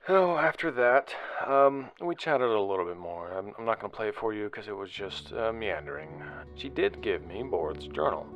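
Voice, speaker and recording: Male actor, weary earnest investigator, low gravelly voice, halting conversational delivery, audio drama, music underneath. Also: sing-song, muffled recording. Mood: intrigued, uneasy. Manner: halting, conversational, repeats words. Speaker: narrator and interviewer. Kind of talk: audio drama narration and dialogue.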